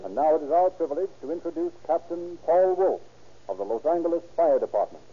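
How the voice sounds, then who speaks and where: A man's voice: on the high side, lively intonation, a little fast, husky, soft background music. A man announcing from a script in the style of 1930s radio. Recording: muffled, hissy old radio recording.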